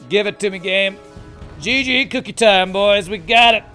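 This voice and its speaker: A male streamer with a calm, soothing voice that has gone a little tired after a long session. He chats easily over the game, reading comments aloud, with faint game music playing softly behind him. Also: high-pitched, normal speed. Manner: chatty, reads chat aloud, laughs often. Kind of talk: casual live-stream commentary.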